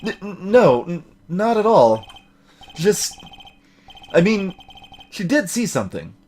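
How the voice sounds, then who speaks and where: A man's voice: high voice, big pitch swings, slow pace, a little mellow, slight background noise, heavily acted. A man voicing an anxious, hesitant young male defense lawyer in a video game. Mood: flustered. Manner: stammering, unsure, dryly resigned. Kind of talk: voiced video game dialogue.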